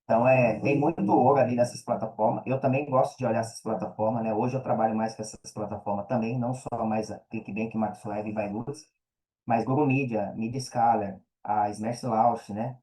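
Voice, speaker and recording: Mellow Brazilian man chatting casually. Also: fairly low pitch, steady tone, moderate pace, rough recording. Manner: slangy, conversational, emphatic repetition. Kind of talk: casual podcast chat.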